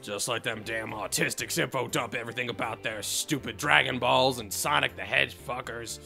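A man's voice: mid-range voice, moderate pace, vocal fry, bright, forceful tone, faint music behind, exaggerated voice. A man voicing a jaded, foul-mouthed female team leader in a theatrical, crude comedic dub of a visual novel. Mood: bitter, ranting. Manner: theatrical, crude, world-weary.

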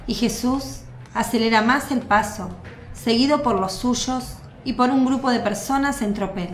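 A woman narrating a radio drama in a steady, descriptive read. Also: fairly high pitch, roomy sound, a little hiss or hum.

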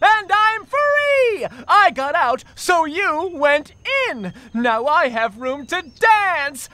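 A tiny trickster elf in a cartoon game. The voice is very high and swings gleefully up and down. He gloats and exclaims with mischief, in a dry recording. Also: very animated, takes it slowly, bright, forceful tone, no echo, full character voice. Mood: gleeful, gloating. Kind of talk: children's cartoon game acting.

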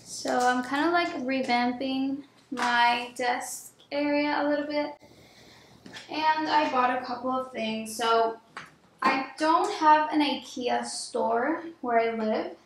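Young female vlogger, slow casual chat, recorded in an echoey home office with noticeable background noise. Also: sits high. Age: young adult.

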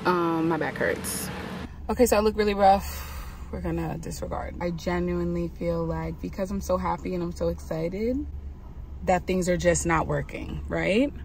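A woman's voice: lowish voice, a little mellow, a little hiss or hum, low-quality recording. A young woman talking casually and candidly to her phone camera, mostly from inside a parked car, upbeat despite her troubles. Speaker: vlogger. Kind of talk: casual vlog chat. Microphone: phone held at arm's length.

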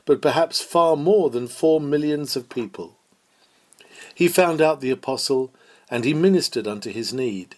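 A man reading a Victorian sermon aloud in a measured, formal, earnest manner. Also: medium pitch, a little mellow. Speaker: sermon reader.

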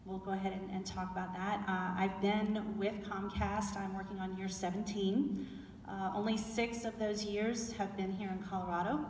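A woman's voice: medium pitch, normal speed, a little hiss or hum. Southern woman speaking in an echoey hall. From South Carolina. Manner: relaxed, chatty, with frequent fillers and restarts. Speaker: panel guest. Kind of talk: onstage fireside chat. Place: large indoor event hall with an audience. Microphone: handheld mic, recorded by a far camera. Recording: roomy hall echo recorded from far off.

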